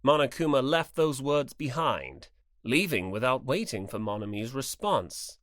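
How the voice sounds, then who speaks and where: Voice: mid-range voice, big pitch swings, a little slow, a bit bright. An audiobook narrator reading scripted story narration in the third person.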